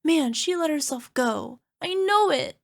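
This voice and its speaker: A woman plays a self-conscious monster girlfriend with a high-pitched, creaky voice. She speaks conversationally, as in an ASMR roleplay, sounding insecure and dramatically, playfully exasperated with her darling. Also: animated, a little slow, full character voice. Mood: self-conscious, gossipy. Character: insecure chubby flying purple people eater girlfriend, a cyclops monster.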